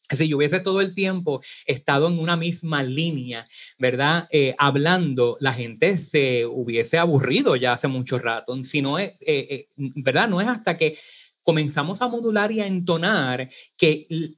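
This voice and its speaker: Casting: Puerto Rican man, diction teacher, slightly high voice, explains patiently with lots of fillers. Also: normal speed, a bit bright. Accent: Puerto Rican Spanish. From Puerto Rico. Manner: didactic, many "eh" fillers and tag "¿verdad?". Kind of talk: podcast interview.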